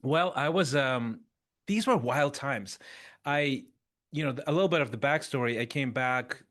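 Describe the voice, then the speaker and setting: A man in his fifties speaks as a guest on a podcast with a mid-range voice. He tells his stories in an animated, conversational way and often restarts phrases as he thinks. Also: mid-range voice, a little slow. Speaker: interview guest. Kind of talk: conversational storytelling.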